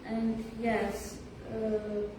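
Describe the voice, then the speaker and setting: A teenage girl student giving her view in a classroom discussion, speaking haltingly with many fillers. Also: on the high side, monotone. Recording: echoey room from far off, clear background noise.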